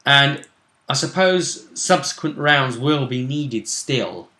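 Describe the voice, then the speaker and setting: Bright-voiced man in an echoey room. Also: mid-range voice, animated, slow. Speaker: co-host of a gaming vidcast. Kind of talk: casual speculative commentary on a tabletop role-play tournament.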